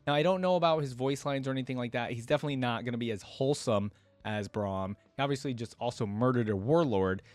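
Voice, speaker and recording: A man's voice: medium pitch, fast, a little mellow, no echo. A man giving casual, enthusiastic, slangy reaction commentary and reading a story aloud as host.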